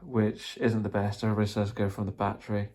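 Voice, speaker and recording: A man's voice: fairly low pitch, flat delivery, fast, darkish tone, breathy edge. A man explaining things casually and tentatively in an unscripted, rambling vlog. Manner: tentative, self-deprecating, rambling. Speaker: vlogger, hobbyist trying out radio gear.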